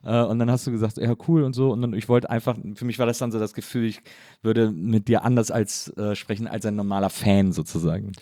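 A laid-back man hosting a casual conversation, with an easy laugh. His voice is warm and mellow at a middle pitch, recorded dry and close with no room around it. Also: dry recording. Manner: relaxed, quick to laugh, fan-like. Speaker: interviewer host.

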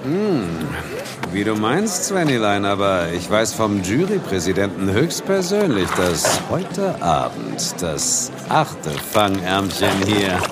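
An actor playing a gloating squid arch-villain in an audio drama, teasing and mocking in drawn-out taunts. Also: male voice, lowish voice. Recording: loud music under the voice.

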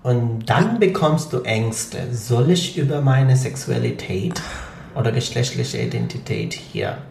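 Male interview guest, Punjabi, gravelly, hesitant, echoey room. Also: medium pitch, expressive, unhurried, darkish tone, slight background noise. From Pakistan. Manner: hesitant, many fillers, explanatory. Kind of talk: conversational interview.

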